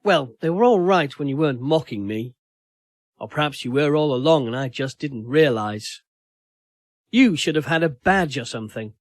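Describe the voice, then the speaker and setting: A man's voice: medium pitch, expressive, normal speed, no echo, clean studio sound, lightly acted. A man voicing a hurt, accusing woman in an audio story, reproachful and pleading. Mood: bitter, hurt. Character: Steph, hurt, accusing young woman in a failing relationship.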